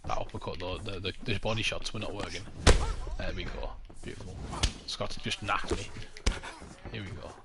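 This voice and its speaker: A man's voice: sits low, fairly even intonation, moderate pace. A young man hosting a live game stream, giving chatty play-by-play and coaching opponents, swearing now and then. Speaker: streamer host. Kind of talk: casual live gameplay commentary. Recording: noisy stream audio with controller clicks.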